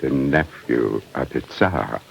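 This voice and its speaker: Very deep, gravelly scheming commandant. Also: male voice, lo-fi sound, exaggerated voice. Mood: sly, conspiratorial. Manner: unctuous, insinuating, deliberate. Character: scheming, sly prison commandant of Tsarist Russia. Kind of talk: 1940s radio drama acting. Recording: noisy old 1940s radio recording.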